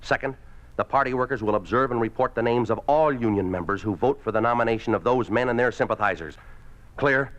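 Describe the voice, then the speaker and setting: An actor playing a ruthless, domineering communist party boss in a 1950s radio drama, speaking in a curt, commanding way. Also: male voice, medium pitch, a little fast, a bit bright, lightly acted. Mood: harsh, commanding. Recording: muffled old 1950s radio recording.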